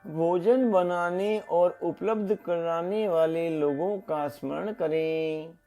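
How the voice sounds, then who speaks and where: Very slow, mellow male meditation guide. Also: fairly high pitch. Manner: soothing, deliberate, with pauses and gentle repetition. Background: meditation music.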